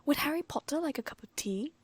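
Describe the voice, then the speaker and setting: A woman reading a story aloud and voicing an eager, humble male house elf with a squeaky, breathy voice. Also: animated, quick pace, no room sound.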